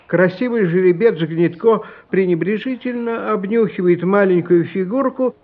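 A man's voice: high-pitched, moderate pace, phone-quality sound. A man reading scripted story narration in a radio play.

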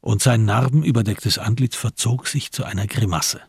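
A man with a husky voice, narrating a radio crime drama from a literary script. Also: medium pitch, moderate pace, no room sound, studio quality.

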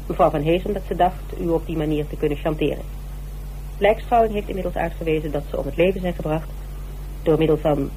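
An actress playing a spirited, teasing private detective in a radio drama, speaking in an informal, pert way. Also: female voice, fairly low pitch, dark tone, natural voice. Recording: humming, noisy radio play recording.

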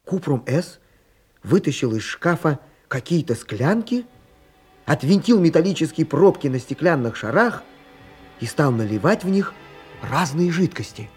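A man's voice: fairly high pitch, very animated, measured pace, husky, faint music behind. A man reading scripted narration for a radio play as its storyteller.

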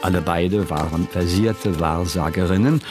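Tiefer, trockener Erzähler mit Musik. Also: male voice, sits low. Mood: wry, descriptive. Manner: measured, dry, literary. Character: observant, wry male narrator of a literary memoir. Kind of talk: scripted narration in radio drama. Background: music.

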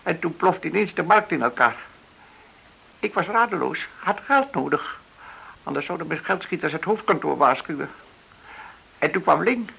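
An actor playing a frightened, cornered banker in a radio drama, halting and anxious as he pleads. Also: fairly high pitch, lively intonation, vocal fry, bright, forceful tone, husky, full character voice, poor audio. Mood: desperate confessing. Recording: hissy old radio play recording.

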